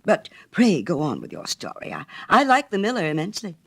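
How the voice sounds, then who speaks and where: Guy doing a snooty old water rat from a storybook, scornful n pompous, pitch swinging all over. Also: wildly swooping pitch, fairly quick, slightly rough, dry recording, full character voice. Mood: pompous, opinionated. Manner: pompous, dismissive, exclamatory.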